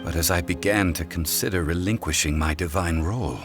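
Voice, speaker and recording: A man playing a calm, dignified god of immense age in human guise in a video game, speaking in formal, solemn, archaic phrasing. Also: natural voice. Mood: reflective. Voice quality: low, measured. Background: background music.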